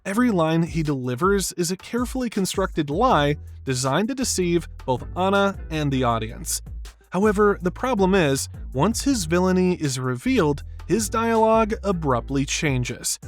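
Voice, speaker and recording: A man's voice: sits high, sing-song, moderate pace, soft background music. A man reading scripted video-essay narration in an emphatic, opinionated way.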